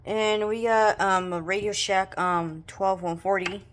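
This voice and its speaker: A woman giving a casual, rambling, halting show-and-tell of gear as a hobbyist presenter. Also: medium pitch, flat delivery, a bit bright. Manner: halting, rambling, improvised delivery. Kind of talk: casual product showcase. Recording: noisy room with clicking handling sounds. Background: noise, clicks, radio broadcasts.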